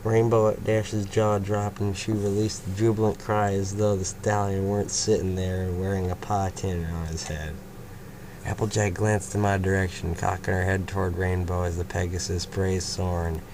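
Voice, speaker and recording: Deep-voiced young man reading fanfic aloud. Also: flat delivery, moderate pace. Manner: stumbling, swearing, laughs at own slips. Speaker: fanfiction reader, narrator. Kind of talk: amateur fanfiction reading and banter. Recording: clear background noise.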